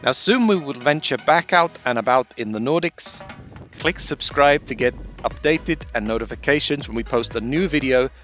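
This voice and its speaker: A man giving a steady, explanatory voice-over for a van life how-to video. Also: medium pitch, normal speed, faint music behind. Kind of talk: scripted voice-over narration. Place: based in Sweden.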